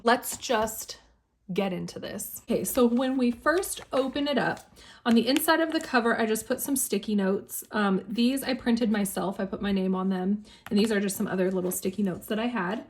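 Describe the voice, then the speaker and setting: A woman with a slightly hoarse voice chatting enthusiastically as she shows her planner on camera, laughing now and then. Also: medium pitch, normal speed. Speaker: planner YouTuber. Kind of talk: casual planner flip-through.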